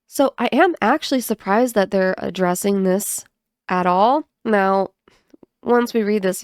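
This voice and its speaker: A sick, congested woman talks through a gaming topic with a cough drop in her mouth. Her voice has a gravelly edge and sits at an ordinary middle pitch. The sound is dry and close, with no room around it. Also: no room sound. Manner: chatty, self-mocking, animated asides. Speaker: gaming video host. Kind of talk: casual commentary and reading aloud.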